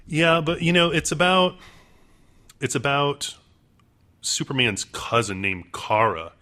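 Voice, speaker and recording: Bright-voiced male podcast co-host. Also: fairly high pitch, slow. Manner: reacts with short exclamations, relaxed. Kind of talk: casual chat.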